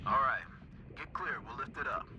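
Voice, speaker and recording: A man playing a friendly, steady salvage boat boss in a video game, relaxed and teasing as he gives practical instructions. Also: medium pitch, moderate pace, dull audio, background noise, natural voice. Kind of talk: naturalistic video game voice acting.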